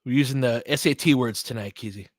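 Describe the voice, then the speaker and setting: A man's voice: medium pitch, quick pace, no room sound. Casual male sports host, close dry sound. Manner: conversational, thinks aloud, qualifies his opinions. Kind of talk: casual sports chat, answering listener comments.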